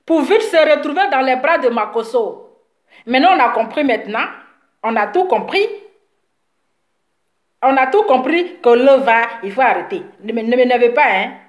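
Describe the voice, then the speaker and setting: A woman's voice: high-pitched, animated, normal speed, long pauses, reverberant. A woman, slightly sick with a cold, scolding her followers in a heated, repetitive live video rant. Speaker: social media commentator.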